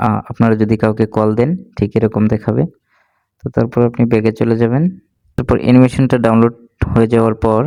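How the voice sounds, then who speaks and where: A man narrating a step-by-step tech tutorial in an instructional way, pausing between steps. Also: medium pitch, warm tone, no room sound.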